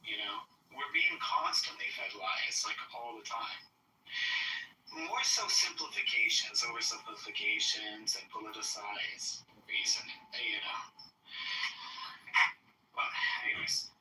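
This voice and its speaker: A man talking casually about politics in an online voice chat, with an earnest, halting way of speaking. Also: lowish voice, expressive, pushed and bright. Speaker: online discussion room speaker. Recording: distant, roomy online audio, faint noise.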